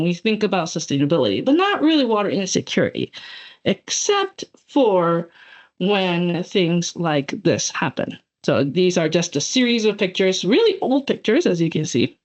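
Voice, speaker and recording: A woman in her forties from Japan gives a webinar lecture, her pitch rising and falling with a lot of life. She talks in a chatty, loose way, full of fillers and restarts. The audio is dull and lo-fi, coming through a computer mic on a call. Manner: animated, chatty, frequent fillers and self-corrections. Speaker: guest speaker, associate professor. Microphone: computer mic on video call.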